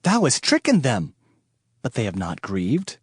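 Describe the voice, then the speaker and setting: A man's voice: mid-range voice, huge pitch swings, a little slow, slightly rough, no echo, studio quality, exaggerated voice. A man playing an anguished Hebrew prophet in a dramatized scripture reading, lamenting and pleading with theatrical emphasis.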